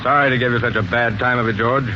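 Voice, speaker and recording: An actor playing a brusque, authoritative police sergeant in a 1940s radio mystery. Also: male voice, medium pitch, fairly even intonation, quick pace, over music, close to an everyday voice. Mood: explaining, assured. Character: stern, commanding male police sergeant investigating murders. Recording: muffled, noisy 1940s radio broadcast.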